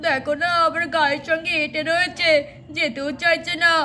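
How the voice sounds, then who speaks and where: A woman voicing a fearful, whining old father-in-law in a doll cartoon, wailing and pleading with drawn-out cries. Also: extremely high pitch, fairly even intonation, sharp tone, a little hiss or hum. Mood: frightened, pleading. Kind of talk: doll-play cartoon acting.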